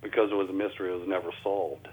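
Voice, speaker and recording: A man's voice: deep voice, flat delivery, very fast, darkish tone, husky. A man with a folksy, ungrammatical way of talking, answering as a guest researcher in a radio interview over the telephone. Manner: folksy, ungrammatical plain speech. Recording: tinny phone line.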